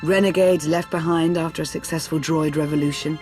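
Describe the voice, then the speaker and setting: A shrewd strategist, acted by a woman, with a lowish voice and formal, measured phrasing. She sounds composed and analytical in a sci-fi game, with music faintly behind her. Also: fairly even intonation, darkish tone, lightly acted. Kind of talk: sci-fi video game acting.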